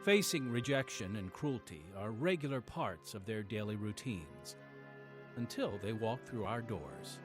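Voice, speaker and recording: A man narrating a scripted radio drama as its host, earnest and measured. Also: lowish voice, lively intonation. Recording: faint music behind the voice.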